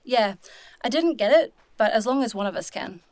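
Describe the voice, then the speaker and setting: Charlie, a higher-voiced casual young traveller, played by a woman. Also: lively intonation, moderate pace, darkish tone, dry recording, lightly acted. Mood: curious, upbeat. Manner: informal, chatty, reactive. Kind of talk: audio drama acting.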